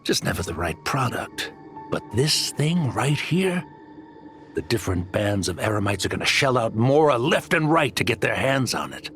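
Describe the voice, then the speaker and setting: A greedy desert gang boss from a video game makes a pushy, persuasive pitch. His mid-range voice moves up and down with lots of animation, over background music. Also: very animated, moderate pace, a bit bright. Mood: slick, persuasive. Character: brash, greedy male desert mercenary leader and arms dealer. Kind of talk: scripted video game acting.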